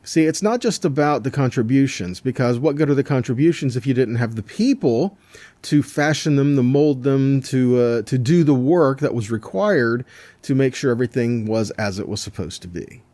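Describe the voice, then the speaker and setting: A man's voice: mid-range voice, normal speed, warm tone, no echo. A man giving a scripture teaching in an explanatory way, with rhetorical questions and repeated points. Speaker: religious teacher.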